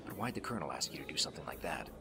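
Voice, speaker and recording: Gruff male soldier, rock-bottom pitch, husky gravel, terse sardonic radio drama delivery, quick pace. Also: sing-song, full character voice. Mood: low, brooding. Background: loud music.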